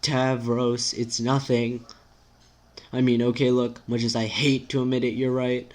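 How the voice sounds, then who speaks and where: A man's voice: medium pitch, little pitch movement, measured pace, darkish tone, close to an everyday voice. A man voicing a vain, irritable, sarcastic teenage girl with a biting, emphatic delivery. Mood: reluctant honesty.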